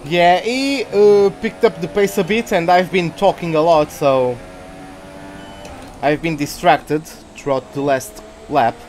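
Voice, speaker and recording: Man, Portuguese accent, gaming streamer, rambling live commentary, higher pitched. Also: slow, darkish tone, a little hiss or hum. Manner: chatty, rambling, easily distracted. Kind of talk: live commentary while playing a racing game.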